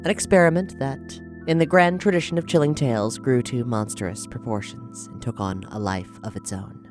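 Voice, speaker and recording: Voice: medium pitch, moderate pace. A podcast host reading a scripted introduction over guitar music. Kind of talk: scripted narration. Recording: music bed behind the voice.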